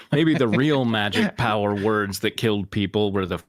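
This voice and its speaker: Low-voiced man chatting, dry sound. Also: measured pace, no echo. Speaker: panelist and narrator on a fan fiction show. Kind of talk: casual joking discussion.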